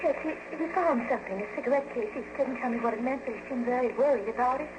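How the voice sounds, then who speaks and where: High-pitched actress as anxious widow, halting, distraught, repeating herself, 1940s radio mystery, noisy old recording. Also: female voice, lo-fi sound, natural voice. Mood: flustered, anxious.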